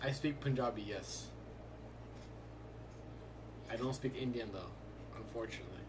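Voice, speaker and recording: A man's voice: mid-range voice, moderate pace, noisy background. Laid-back young male streamer. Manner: laid-back, rambling, fills talk with "like". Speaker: livestreaming day trader. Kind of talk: casual live-stream chat.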